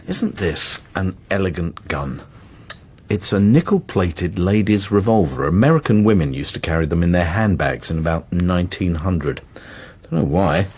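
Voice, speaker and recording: An actor playing a blunt, irreverent police detective with a dry, sardonic manner in a radio drama. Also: male voice, sits low, animated, moderate pace, a little mellow, slightly rough, exaggerated voice. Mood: dry, musing. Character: blunt, irreverent male police colleague, gun enthusiast.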